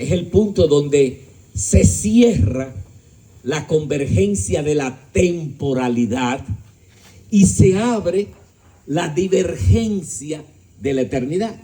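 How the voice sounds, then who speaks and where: Dark-voiced man lecturing emphatically. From Dominican Republic. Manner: rhetorical questions to the audience, joking asides; emphatic, shifting pitch and volume. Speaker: speaker at a discussion gathering. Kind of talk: philosophical lecture to an audience.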